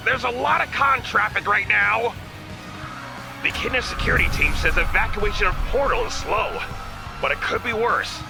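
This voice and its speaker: High, creaky, earnest male aide acted. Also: normal speed. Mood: earnest, busy. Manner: earnest, reporting. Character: dutiful royal communications aide, earnest, busy, reporting. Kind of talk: animated comic dub voice acting. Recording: music behind the voice.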